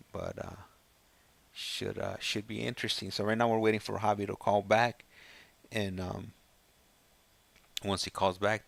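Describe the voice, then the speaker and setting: Low, gravelly man chatting casually. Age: middle-aged. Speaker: host of a prison call show. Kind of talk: casual chat.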